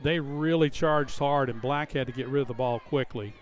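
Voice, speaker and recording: A man's voice: mid-range voice, normal speed. A man giving live analytical commentary on a football game, explaining plays in a conversational way. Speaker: color commentator.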